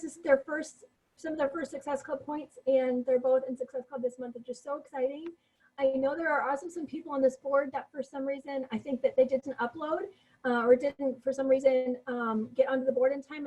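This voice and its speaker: A woman's voice: sits high, darkish tone, poor audio. A woman losing her voice, co-hosting a team video call with upbeat, encouraging talk full of fillers. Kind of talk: casual motivational team talk.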